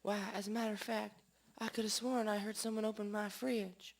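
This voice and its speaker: A woman's voice: mid-range voice, little pitch movement, fairly quick, lightly acted. A woman playing a fretful, melodramatic lady pet owner with a theatrical, plaintive and very polite manner in a comic radio drama. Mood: worried, polite.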